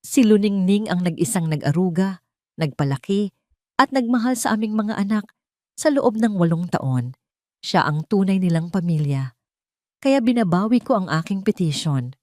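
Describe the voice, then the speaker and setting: A woman's voice: medium pitch, expressive, normal speed, warm tone, dry recording, studio quality. A woman with a Filipino accent narrating a scripted dramatic story.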